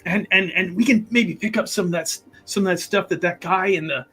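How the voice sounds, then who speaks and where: Man playing a rambling, high-pitched roguish occultist. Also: fairly quick, slightly rough, faint music behind, full character voice. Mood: shady, eager. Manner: rambling, conspiratorial, excitable. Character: roguish, thrill-seeking male occultist, shady and conspiratorial.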